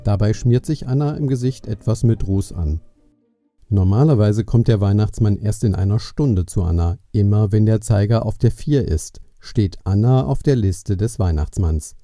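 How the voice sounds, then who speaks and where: Man narrating kids audio story, deep-ish super mellow voice, music underneath. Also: moderate pace, no echo, clean studio sound. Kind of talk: scripted storytelling for a children's audio play.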